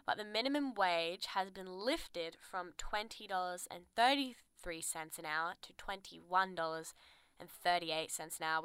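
A woman's voice: lowish voice, pushed and bright, clear, resonant tone, no room sound. A young Australian woman co-hosting a podcast in casual chat, chatty and giggly, full of "like". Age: young adult. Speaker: podcast co-host.

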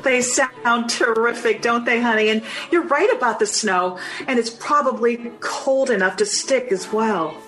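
A woman's voice: on the high side, unhurried, hardly any pauses, a bit bright, soft background music, rough recording. An actress playing an anxious, polite 1950s mother in old-time radio drama style, with a hesitant, fretful manner.

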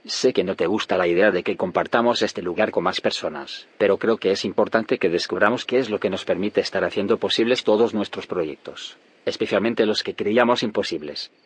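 An actor with an accent from Spain playing an earnest, idealistic male physicist with a husky voice, mild and reasoning, in an audio drama. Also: lowish voice, brisk. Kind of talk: audio drama acting, scripted dialogue.

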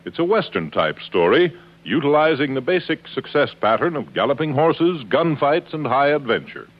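A man announcing in a polished, declamatory 1950s radio style, reading a scripted sales pitch. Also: big pitch swings, moderate pace. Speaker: announcer pitching a program to advertisers. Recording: dull 1950s radio recording.

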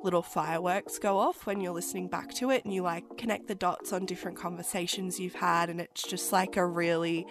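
A woman's voice: lowish voice, steady tone, fairly quick, few pauses. A woman co-hosting a podcast in casual, chatty, self-mocking talk, laughing often and nursing a cold. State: has a cold. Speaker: podcast co-host. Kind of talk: casual chat.

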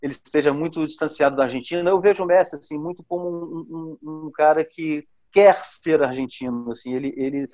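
A man reflects on football in a measured, thoughtful way, with frequent hesitations. His voice sits a little high, and the recording sounds somewhat dull. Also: a little mellow. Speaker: football commentator. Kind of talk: podcast discussion.

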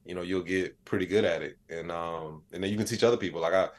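A man in his late thirties with a fairly low-pitched voice. He talks as an interview guest in a loose, colloquial way, keeping explanations plain-spoken and conversational, sometimes voicing bits of imagined dialogue. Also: quick pace. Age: late 30s. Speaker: interview guest, AI educator and comedian. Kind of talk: casual conversational interview answers.

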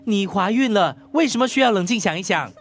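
An actor playing a jealous, suspicious husband in a radio drama, sarcastic and confrontational, with a Singaporean accent. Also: male voice, sits high, lively intonation, fairly quick, creaky, faint music behind, heavily acted. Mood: suspicious, accusing. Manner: confrontational, accusing.

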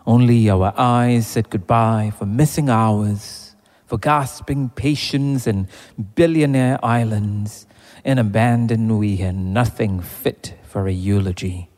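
A man reciting poetry in a deliberate way, phrase by phrase. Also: on the low side, mellow. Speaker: poetry reader. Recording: long reverberant hall sound.

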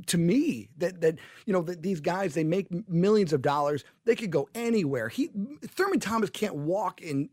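A man's voice: fairly high pitch, darkish tone, no echo. A man hosting talk radio, speaking in an impassioned, rambling way with frequent restarts, into a studio microphone. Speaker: radio talk show host.

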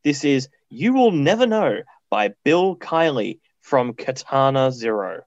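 A man's voice: medium pitch, sing-song, measured pace, dry recording. Chatty man on a dull dry mic. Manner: chatty, upbeat. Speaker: radio co-host. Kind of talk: casual radio chat, song introductions.

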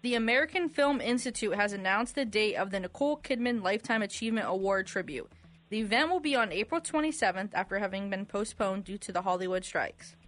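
Higher-pitched woman reading radio trivia. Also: a bit bright. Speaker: radio quiz co-host reading questions. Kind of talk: reading trivia questions with casual banter.

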